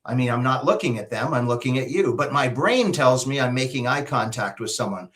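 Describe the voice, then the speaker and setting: A man's voice: medium pitch, lively intonation, fairly quick, fairly bright tone. Emphatic middle-aged Canadian man, polished speaker. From Alberta, Canada. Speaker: guest, public speaker and emcee. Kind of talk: interview answers, advice. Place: video call.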